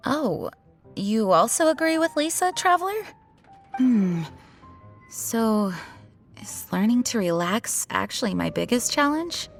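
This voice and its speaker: An actress playing a dutiful, earnest young woman leader in a video game, speaking politely and formally in a measured way. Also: on the high side, big pitch swings, vocal fry, faint music behind, exaggerated voice. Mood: earnest, concerned.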